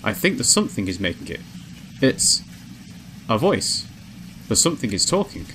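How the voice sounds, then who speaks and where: A man voicing a newly awakened, curious female android in a visual novel, measured and introspective. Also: fairly low pitch, sing-song, bright, forceful tone, slight background noise, low-quality recording. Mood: contemplative. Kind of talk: visual novel character narration. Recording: music behind the voice.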